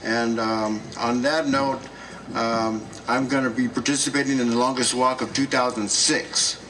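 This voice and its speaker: A man addresses a gathering in slow, halting speech full of pauses and fillers. He is picked up from a distance, with clear noise around him at an outdoor event. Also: mid-range voice, fairly even intonation, fairly bright tone. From South Dakota, United States. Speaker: speaker at a gathering.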